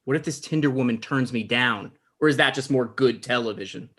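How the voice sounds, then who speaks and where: A man acts out an awkward late-night host in a comedy read. His voice is medium-pitched, with a mild, slightly pedantic and hesitant conversational delivery. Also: a little fast, a bit bright, close to an everyday voice. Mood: wary.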